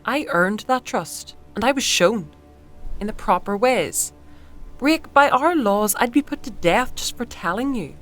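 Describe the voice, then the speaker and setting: An actress playing a devout, guarded woman in a fantasy audio drama, speaking in a measured, earnest way. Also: a little high, animated, a bit bright, faint music behind. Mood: solemn, guarded. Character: devout, guarded woman loyal to a secret order.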